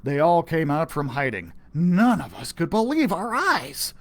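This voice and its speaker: Animated man reading a storybook aloud. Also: on the high side, a little slow, gravelly. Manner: animated, playful storytelling with dramatic whispers. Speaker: children's librarian reading aloud.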